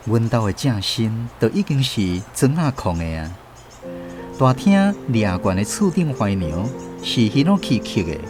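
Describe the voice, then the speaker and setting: A man with a Taiwanese accent, narrating scripted radio stories expressively as host. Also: medium pitch.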